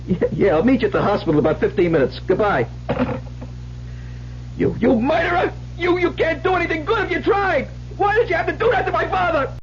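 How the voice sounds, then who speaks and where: An actor playing a frightened, weak-willed working man in a vintage radio drama, agitated, halting and pleading. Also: very high voice, big pitch swings. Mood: distressed, then outraged. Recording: muffled old radio recording with hiss.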